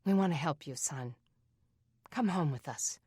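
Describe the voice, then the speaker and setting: Woman as a gentle foster mother, soft, tender, low voice, dry. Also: expressive, a little slow, breathy edge, no echo, full character voice.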